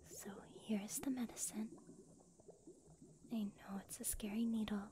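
A woman playing a gentle, soothing young healer in a whispered ASMR roleplay. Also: medium pitch, steady tone, unhurried, noisy background, natural voice. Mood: reassuring.

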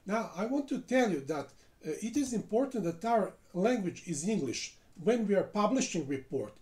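Lively-pitched man narrating a software walkthrough. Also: moderate pace. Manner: step-by-step narration with frequent "uh" fillers. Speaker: software tutorial presenter. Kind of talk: screen-recorded software tutorial.

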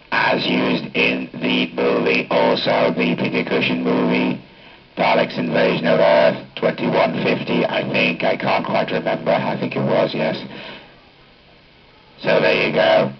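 Buzzing robotic man, gravelly and slow. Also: extremely low pitch, monotone, soft background music. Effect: Dalek ring-modulator robot voice effect. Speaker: hobbyist demonstrating a voice changer. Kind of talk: product test demonstration. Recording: dull lo-fi capture from amplifier speaker.

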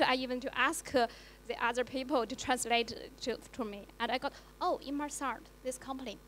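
A woman journalist whose first language is Chinese, speaking haltingly with many fillers on a panel in a lecture hall with a handheld mic. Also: fairly high pitch, slight background noise. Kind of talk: panel discussion.

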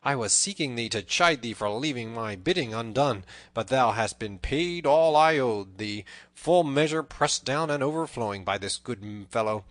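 A man's voice: medium pitch, expressive, normal speed, few pauses, no echo, natural voice. A man voicing a bold, merry, teasing outlaw leader with exuberant, old-fashioned storybook speech. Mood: mirthful, teasing. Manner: exuberant, mirthful archaic speech.

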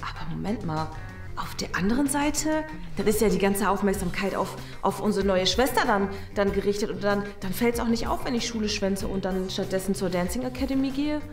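A young woman playing a grumbling, self-assured teenage eldest daughter in a family comedy skit, exclamatory and halting. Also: medium pitch, soft background music, close to an everyday voice. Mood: grumbling, scheming. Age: young adult.

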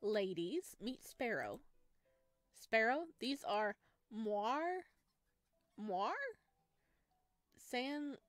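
Woman voicing a gentle teasing monster boy, unhurried. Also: on the high side, dry recording, exaggerated voice. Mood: fond, introducing. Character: gentle, playful, self-deprecating young male forest monster.

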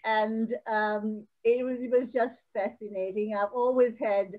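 A woman's voice: a little high, steady tone, a little slow. An older woman from Sri Lanka recalling her studies on a video call, hesitant with many fillers and restarts. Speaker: audience member. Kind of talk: casual recollection on a video call. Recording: tinny phone-like call audio.

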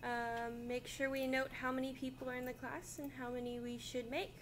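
A woman's voice: high voice, little pitch movement, lightly acted. A young woman playing an upbeat schoolteacher who praises her class, in an amateur class skit. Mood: cheerful. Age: young adult. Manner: cheerful, encouraging, quick to praise. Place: small classroom, indoors. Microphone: far camera. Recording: echoey room, far mic, loud hiss.

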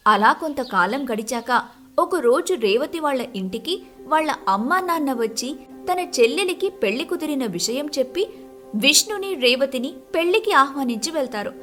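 A woman narrates a scripted moral story. Her voice is high, and soft music plays underneath. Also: animated, moderate pace.

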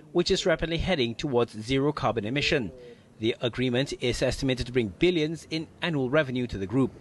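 A man in his thirties presenting the news from a studio, reading a scripted report in an even, formal manner. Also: medium pitch, a little hiss or hum.

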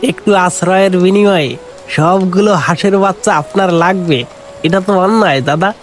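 A man's voice: sits high, soft background music, close to an everyday voice. A man doing a meek poor duck seller. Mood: pleading. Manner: meek, pleading, slow.

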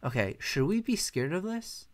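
Young American chess streamer with Mandarin as his first language; relaxed live commentary, talks through moves and chats with viewers. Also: a little high, moderate pace. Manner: thinks aloud, chatty, self-correcting. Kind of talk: casual live commentary while playing. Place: bedroom at home, indoors.

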